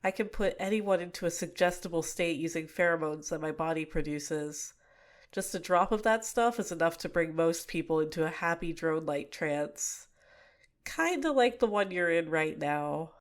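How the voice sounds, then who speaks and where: A young woman voicing a cute but manipulative female villain in a soft-spoken, teasing ASMR roleplay, recorded close to the mic. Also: low-pitched, normal speed, lightly acted. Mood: smug, explaining.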